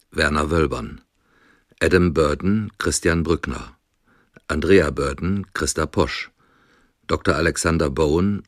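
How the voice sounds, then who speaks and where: A man reading radio drama credits as an announcer, in a formal, deliberate manner. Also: a bass voice, no echo, studio quality.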